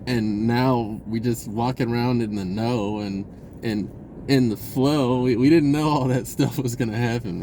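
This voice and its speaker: A man's voice: on the high side, a little mellow. Casual rambling man over background noise. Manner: informal, slangy, halting with repeated restarts. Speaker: vlogger. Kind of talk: casual rambling personal storytelling.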